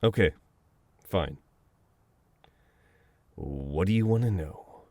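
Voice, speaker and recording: Male actor as teasing, exasperated best friend with a dominant edge for intimate audio roleplay; lowish pitch, unhurried, very close dry sound. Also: animated, a little mellow, dry recording. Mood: reluctant, awkward. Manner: conversational, exasperated, breaking into chuckles and sighs.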